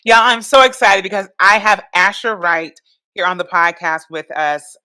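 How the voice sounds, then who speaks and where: A woman's voice: medium pitch, moderate pace, bright, forceful tone, dry recording, faint music behind. A woman hosting a podcast, chatting casually and enthusiastically with a guest and saying "y'all" and "like" a lot. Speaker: podcast host.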